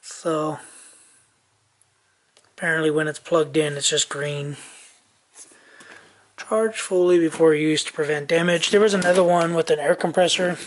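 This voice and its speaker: A man, casually thinking aloud lots of ums and soft muttering while he tests wiring outdoors. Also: fairly high pitch, steady tone, a little slow, long pauses. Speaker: vlogger. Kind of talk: casual hands-on narration while testing a tractor's wiring. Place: outdoor yard and garage workshop.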